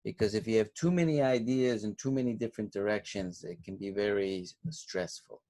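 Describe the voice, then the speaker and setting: A man's voice: lowish voice, fairly quick, dark tone. A man whose first language was Turkish, a course instructor giving feedback and asking questions in a class, rambling and thinking aloud with many fillers.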